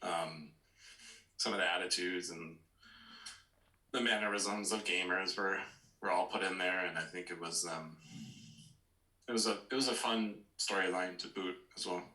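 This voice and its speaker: A man co-hosting a casual discussion, explaining his opinion in a measured way. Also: low voice, fairly even intonation, pushed and bright. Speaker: podcast co-host. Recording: roomy echo.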